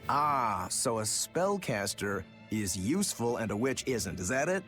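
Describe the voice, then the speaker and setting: An actor playing a laid-back, dryly wry adventurer in a video game. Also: male voice, mid-range voice, very animated, measured pace, fairly bright tone. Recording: music playing behind the voice.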